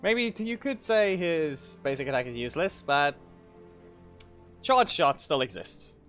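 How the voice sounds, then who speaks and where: A man's voice: a little high, very animated, unhurried, fairly bright tone. A man giving casual, offhand gameplay commentary as a streamer. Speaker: game streamer. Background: game music.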